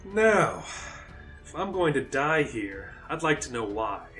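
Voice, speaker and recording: A man's voice: medium pitch, very animated, slow pace, fairly bright tone, faint music behind. A man voicing a wounded, desperate young fantasy hero in an audiobook, with strained, exclaiming delivery. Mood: angry, demanding. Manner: strained, exclaiming, breaking into pained laughter.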